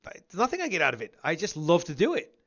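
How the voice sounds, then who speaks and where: A man's voice: fairly high pitch, huge pitch swings, fast, a bit bright, muffled recording. A man of about fifty hosting a casual gaming interview, talking in a rambling, repetitive, emphatic way. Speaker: show host interviewing a guest.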